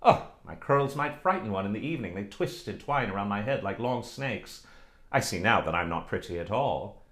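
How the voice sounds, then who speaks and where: A man's voice: on the low side, expressive, moderate pace, a bit bright. A man voicing a vain, teasing young village beauty who admires herself and mocks her suitor. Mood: musing. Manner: coquettish, haughty, admiring herself.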